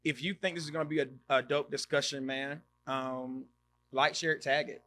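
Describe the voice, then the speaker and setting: A man in his thirties hosting a podcast, chatting casually and reacting loudly as he reads out viewer comments. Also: mid-range voice, moderate pace, a little hiss or hum. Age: 30s. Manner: animated, exclaims and reacts loudly, repeats himself. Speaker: podcast host. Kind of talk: casual live-stream chat reading comments.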